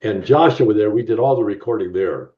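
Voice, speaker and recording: A middle-aged man, an actor, chatting casually in an interview and often repeating words as he gets to his point. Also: lowish voice. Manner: halting, repeats words as he talks. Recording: roomy, distant, dull call audio.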